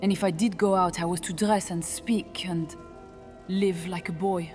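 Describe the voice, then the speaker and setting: A woman playing a gentle, sorrowful young witch who has lived as a boy, speaking in an earnest, measured, halting way. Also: fairly low pitch, fairly even intonation, soft background music, close to an everyday voice. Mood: sorrowful confession.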